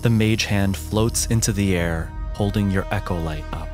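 A man narrating a tabletop roleplaying game as game master, in a measured, descriptive and dramatic storytelling manner. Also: low voice. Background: music.

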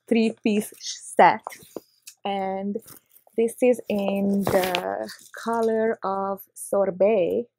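A woman in her thirties from Hungary with a Hungarian accent, chatting excitedly through a casual unboxing vlog on a lapel mic in her living room. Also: mid-range voice, vocal fry, slight background noise, low-quality recording. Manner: chatty, excitable, with short laughs. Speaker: vlogger. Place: living room on a leather couch, indoors.

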